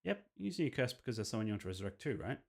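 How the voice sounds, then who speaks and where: A man's voice: mid-range voice, big pitch swings, rapid-fire, vocal fry, breathy edge, full character voice. A man acts out a cocky, threatening rival from a horror visual novel. The delivery is boastful and taunting, with intonation that swings widely. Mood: scheming, persuasive. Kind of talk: visual novel dialogue read-aloud.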